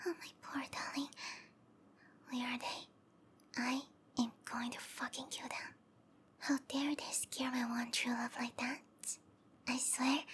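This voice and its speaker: A woman voicing a sweet, obsessive young snake-monster girlfriend in a roleplay ASMR, soft and whispery, with a cutesy, pleading delivery. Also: high voice, a little hiss or hum, close to an everyday voice. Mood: relieved, tender, then menacing.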